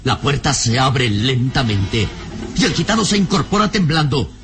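An actor as the storyteller of an adventure radio serial, narrating in a theatrical, suspenseful, carefully enunciated way. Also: male voice, mid-range voice, lively intonation, moderate pace, noisy background, lightly acted. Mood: tense.

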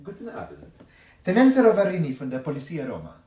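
A man acting in a German radio drama as a courteous Italian police lieutenant who questions formally and deliberately. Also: fairly high pitch, huge pitch swings, dark tone. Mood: formal, probing.

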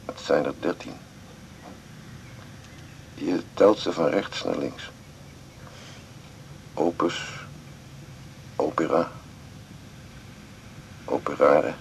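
A very deep-voiced, gravelly man in a radio play, leaving an intimate cassette message. He is halting, tender and melancholy, with faint ambient noise around him. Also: exaggerated voice, rough recording. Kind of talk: naturalistic 1980s radio drama monologue.

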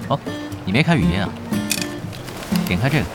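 A laid-back veteran gamer leading his team in a radio drama. He speaks in a very lively middle register, instructive and drily teasing, with music behind him. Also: fairly low pitch, a little fast. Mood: patient, bossy. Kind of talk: Chinese radio drama acting.

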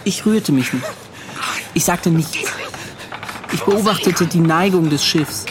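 High-pitched ship's officer, haunted, pleading, agitated confession, radio drama, noisy backdrop. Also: male voice. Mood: urgent recounting. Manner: agitated, pleading, halting then rushing. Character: tormented, defensive male ship's officer recounting a disaster.

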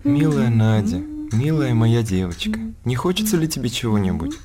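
Anxious father over clear music. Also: medium pitch, wildly swooping pitch, normal speed. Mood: tender. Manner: tender, fretful. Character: anxious, loving father of a sick girl. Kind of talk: radio drama acting.

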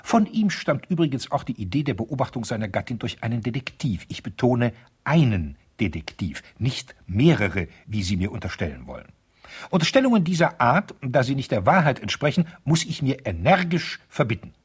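An actor playing a pompous, pedantic lawyer who dictates stiffly indignant, officious letters in a raspy voice, in a 1970s German radio play. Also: male voice, mid-range voice, big pitch swings, normal speed. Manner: formal, officious, legalistic, pointedly emphatic.

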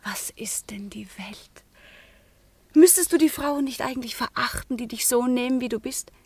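Proud, sorrowful convent girl of eighteen, high voice, dry studio, intense classical radio-play delivery with hushed dips. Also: moderate pace, breathy edge, no room sound. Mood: indignant, reproachful. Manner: intense, declamatory, often dropping to a hushed whisper. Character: proud, melancholy eighteen-year-old convent-schooled girl, passionate and bitter. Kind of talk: classical German radio drama acting.

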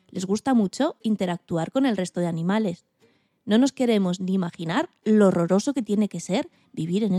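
A woman's voice: mid-range voice, dark tone, dry recording. A woman from reading scripted narration as a radio presenter.

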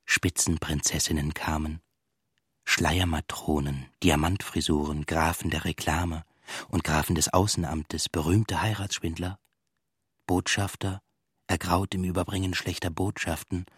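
A man's voice: deep voice, steady tone, measured pace, slightly rough, dry recording, studio quality. An actor in a German radio play playing a defiant composer, telling his story in a reflective, dryly ironic monologue.

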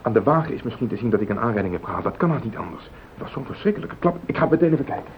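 An actor playing a rattled, evasive husband in a radio play, agitated and pleading. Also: male voice, big pitch swings, very fast, close to an everyday voice. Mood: panicky. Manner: agitated, pleading, self-justifying. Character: rattled, evasive husband hiding a car accident. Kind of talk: Dutch radio play acting. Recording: muffled phone-like old radio recording, rumbling hiss.

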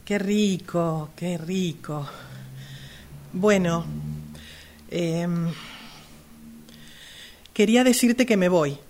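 A woman acting a slow-paced, hesitant Argentine sister. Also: on the low side, darkish tone, a little hiss or hum. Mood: friendly, hesitant. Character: hesitant sister in love, longtime caregiver. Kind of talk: amateur radio drama acting.

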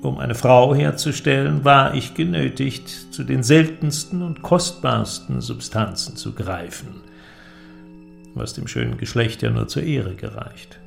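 Gravelly, grandiose visionary inventor. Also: male voice, mid-range voice, soft background music. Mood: lecturing, enthusiastic. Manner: declamatory, deliberate, ornate phrasing. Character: eloquent visionary male inventor, grandiose and persuasive. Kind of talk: German literary radio drama acting.

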